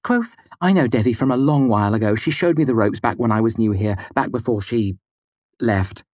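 An anxious, well-meaning young woman played by a male audiobook reader, in a lifted pitch. She sounds earnest and eager to smooth things over. The sound is dry. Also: sing-song, darkish tone, no room sound. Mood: hurried, placating. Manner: hurried, pleading. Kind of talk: audiobook character dialogue.

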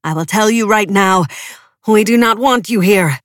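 Woman reading ruthless male preacher, commanding, declamatory, lowish voice, dry close sound. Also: a little slow, slightly rough, no room sound. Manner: firm, declamatory.

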